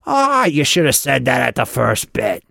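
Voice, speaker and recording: A squat, crude goblin guard from a fantasy storybook. He is surly and slow-witted, blustering in a raised, forceful pitch and tripping over muddled slang. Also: wildly swooping pitch, no room sound, studio quality, full character voice. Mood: grudgingly welcoming. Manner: blustering, halting, muddled speech with rough British-style slang.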